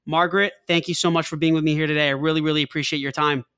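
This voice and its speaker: A man's voice: fairly high pitch, steady tone, very fast, music in the background. A man hosting a business podcast, reading an introduction and then asking questions with many restarts and fillers. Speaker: podcast host. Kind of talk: podcast interview.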